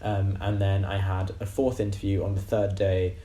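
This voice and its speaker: A university student, a man of about twenty from West London, describing his interviews in a measured way with frequent ums. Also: low voice, monotone, mellow, roomy sound, slight background noise. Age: around 20. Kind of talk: casual interview answers.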